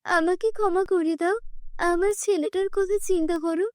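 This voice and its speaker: Very high-pitched wheedling cartoon stepmother by a woman. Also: fairly even intonation, normal speed, no echo, clean studio sound. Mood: pleading. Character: wheedling stepmother, cruel then begging forgiveness.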